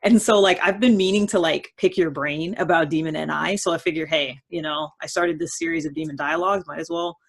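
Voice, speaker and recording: A woman's voice: low-pitched, steady tone, fairly quick, hardly any pauses. A woman hosting a casual, chatty interview, asking questions in an informal, tentative way with frequent hedges. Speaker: host interviewing a guest.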